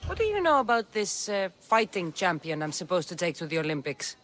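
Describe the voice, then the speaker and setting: A woman's voice: fairly low pitch, big pitch swings, brisk, fairly bright tone. An actress playing a blunt, determined Spartan mercenary woman in a video game cutscene. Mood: resolute, questioning.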